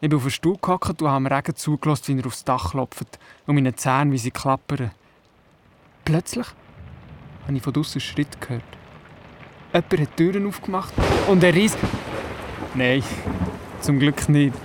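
A man's voice: on the high side, normal speed, pauses often, a little hiss or hum, close to an everyday voice. A man with a Swiss German accent playing a young, curious boy who narrates and talks in a radio play. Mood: wondering, suspenseful. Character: young boy on holiday at his grandmother's, curious.

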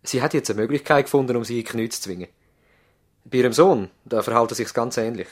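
A man's voice: mid-range voice, fast, lightly acted. A man playing an earnest, worried country doctor in a radio drama, formal and serious, with a Swiss accent. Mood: explaining. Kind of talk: German-language radio drama acting. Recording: slightly veiled 1970s radio drama sound.

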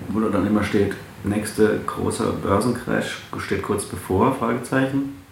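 Gravelly, low-voiced man in echoey room. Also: a little slow. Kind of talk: casual chat. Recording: echoey room with faint background noise.

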